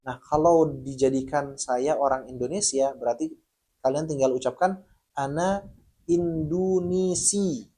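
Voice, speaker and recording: A man with a voice pitched a little above the usual range teaches a beginners' lesson. He is friendly and patient and talks to viewers as friends. He slows down sharply to pronounce each example phrase clearly. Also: mellow. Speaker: language teacher.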